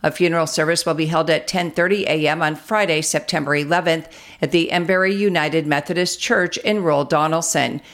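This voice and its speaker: Low-pitched American woman reading local news. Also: fairly even intonation, fairly quick, a bit bright. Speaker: radio news announcer.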